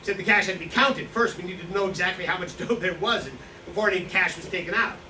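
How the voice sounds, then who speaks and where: A man acts out a boastful, boozy self-styled outlaw in a stage play reading. His voice sits high for a man, and he rambles on in smug self-justification. It is picked up from far off in an echoey room with clear background noise. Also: fast, pushed and bright. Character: boastful, hard-drinking male Slovak profiteer, self-styled outlaw. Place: indoor staged reading, distant microphone.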